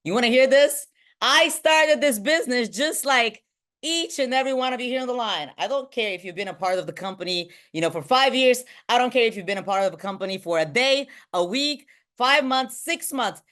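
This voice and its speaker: A woman in her thirties from Bulgaria leads a motivational online training as a sales team trainer, talking excitably and often checking in with short questions. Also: fairly high pitch, a little fast. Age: 30s. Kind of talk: motivational online training talk to a live group.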